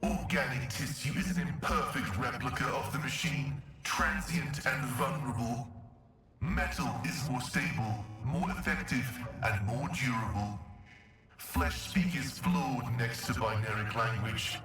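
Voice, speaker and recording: An actor playing a pious cyborg tech-priest in a video game, speaking formally and solemnly through a metallic voice modulator. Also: male voice, mid-range voice, unhurried, fairly bright tone, faint music behind. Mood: proud, zealous. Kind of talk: scripted video game dialogue. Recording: heavily echoing, distant processed game audio.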